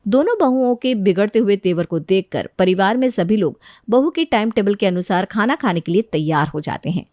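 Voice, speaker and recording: A woman's voice: medium pitch, expressive, brisk, a little mellow, dry recording. Woman narrating a story, dry sound.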